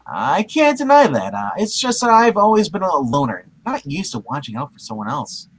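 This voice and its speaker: A man playing an earnest, awkward masked superhero in love, read from a comic book with a halting, hammy delivery. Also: fairly high pitch, huge pitch swings, slight background noise. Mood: worried, protective. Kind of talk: comic book live reading.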